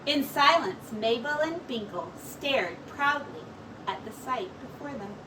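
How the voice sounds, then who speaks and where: A woman reading a children's story aloud as a teacher, with expressive narration. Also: a little high, measured pace, distant mic, background noise.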